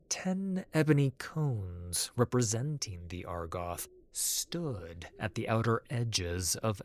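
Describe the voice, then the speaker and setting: A man reading a scripted fantasy story as narrator. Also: low-pitched.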